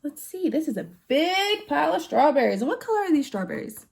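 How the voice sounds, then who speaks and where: A woman with a high voice reads a children's picture book aloud. Her pitch swoops widely as she exclaims and puts simple questions to her young listeners. The delivery is animated and sing-song, made for storytime. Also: a little fast, vocal fry.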